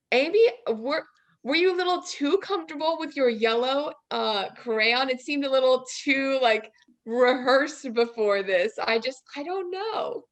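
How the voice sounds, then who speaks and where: A young woman giving casual feedback as a guest judge on a video call, hesitant and tactful. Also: fairly high pitch. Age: young adult. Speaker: guest judge, student teacher. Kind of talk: casual spoken critique.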